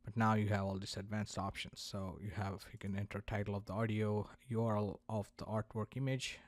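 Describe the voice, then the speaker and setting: Man narrating a dry-sounding software tutorial. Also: lowish voice, level tone, brisk, no room sound. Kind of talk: product demo narration, explanatory.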